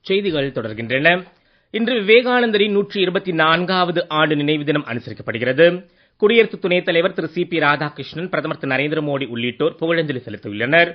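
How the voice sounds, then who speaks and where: A man reads a formal scripted radio news bulletin in a measured manner. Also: a little high, expressive. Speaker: news reader. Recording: dull lo-fi radio sound.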